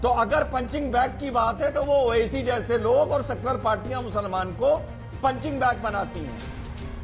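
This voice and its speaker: Man, very high pitch, emphatic, combative, TV debate panelist, faint music behind. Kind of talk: heated TV news debate.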